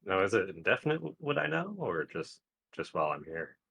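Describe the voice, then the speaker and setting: Male player in a tabletop game, low husky voice, casual conversational delivery. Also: big pitch swings, a little fast, fairly bright tone.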